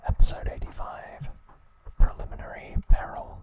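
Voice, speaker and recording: A man whispering softly and deliberately in short phrases, narrating a recap in ASMR style with a breathy, unvoiced voice. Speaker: host of an ASMR channel.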